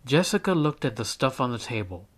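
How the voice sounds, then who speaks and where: A man reading a children's picture book aloud as a teacher, in an expressive storytelling style. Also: mid-range voice, brisk.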